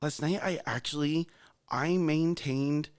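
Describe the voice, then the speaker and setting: A man's voice: on the high side, takes it slowly. Man, thirties, earnest, repeats phrases, talks straight to listeners, casual storytelling. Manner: earnest, repeats himself, addresses listeners directly. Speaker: morning show co-host.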